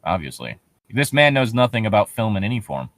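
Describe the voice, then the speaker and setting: Male podcast co-host, expressive and incredulous, swears freely, casual riffing, dry close sound. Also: lowish voice, a little fast, no echo. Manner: profane, incredulous nitpicking. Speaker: podcast co-host reading and commenting on a horror story. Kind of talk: casual comedic commentary.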